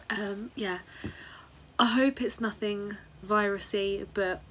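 A woman, chatting casually to camera in her home studio, her voice a little husky from a sore throat, with lots of ums and small laughs. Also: medium pitch, steady tone, slow pace, fairly bright tone, a little hiss or hum. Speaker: artist vlogger. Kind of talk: casual vlog chat to camera. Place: home studio room, indoors. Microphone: camera on the desk, close framing.

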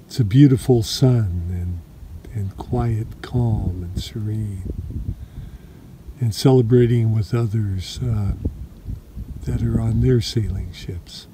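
A man sharing a spiritual reflection outdoors by a shoreline, talking softly and haltingly with many pauses and fillers. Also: lowish voice, a little hiss or hum. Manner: slow, soft-spoken, halting with many fillers. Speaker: meditation teacher. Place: lakeshore outdoors.

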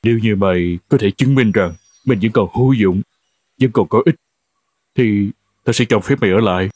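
A man voicing a cold, grudging farmer in a cartoon folk tale, gruff and dismissive. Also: lowish voice, moderate pace, a little mellow, no room sound, close to an everyday voice. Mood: reluctant, stern. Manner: gruff, dismissive, sighing reluctantly. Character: cold, grudging male farmer, master of an old horse. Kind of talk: animated folk tale dialogue. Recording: music under the voice.

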